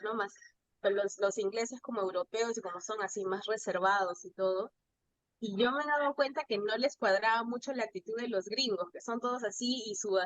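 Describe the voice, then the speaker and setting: A woman's voice: medium pitch, poor audio. Chatty Peruvian woman telling anecdotes. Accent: Peruvian Spanish. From Peru. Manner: chatty, animated anecdotes with quoted dialogue. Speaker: guest, pastry chef. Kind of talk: casual conversational storytelling.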